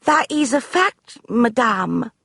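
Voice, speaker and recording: Man voicing a buxom maid, high voice, awful fake French accent, slow and drawn out. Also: big pitch swings, vocal fry, a bit bright, husky, dry recording, clean studio sound, full character voice. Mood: theatrical. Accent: fake, bad French accent.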